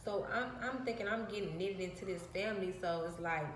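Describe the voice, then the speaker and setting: A woman with a soft, reserved voice, casually telling a rambling story to her phone camera and repeating her phrases. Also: mid-range voice, a little fast, roomy sound, slight background noise, poor audio. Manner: rambling, repeats phrases, slips into quoted dialogue. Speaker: vlogger.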